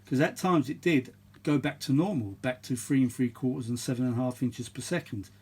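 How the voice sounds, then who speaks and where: A man's voice: medium pitch, a little fast, a little mellow, slightly rough. A middle-aged or older man giving casual, chatty repair commentary, rambling and thinking aloud as he works. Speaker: vintage audio repair vlogger.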